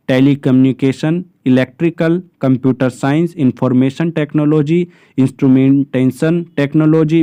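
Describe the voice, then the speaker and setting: Male explainer, slightly high pitch, close dry sound, formal and measured when reading out details. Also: mellow, smooth, no room sound. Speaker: exam-prep presenter explaining job notices. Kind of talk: informational explainer, partly reading from a notice.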